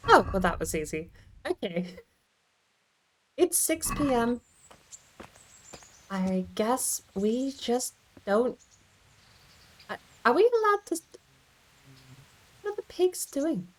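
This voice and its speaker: A woman's voice: a little high, sing-song, slow, pauses often. A woman giving casual livestream game commentary, rambling and thinking aloud as she plays. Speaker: game streamer.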